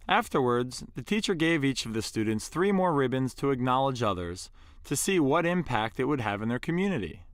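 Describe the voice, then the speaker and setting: A man presenting and reading an inspirational story aloud in an earnest, deliberate way with dramatic pauses. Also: on the high side, no echo, studio quality.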